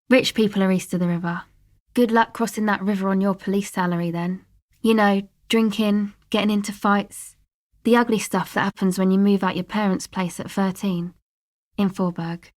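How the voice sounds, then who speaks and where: A young woman from a video game, played by an actress, streetwise and guarded. She talks in a loose, slangy way with a dry, offhand delivery and a middling pitch. She comes across as a laid-back slacker who keeps people at a distance. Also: close to an everyday voice. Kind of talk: video game character dialogue, conversational.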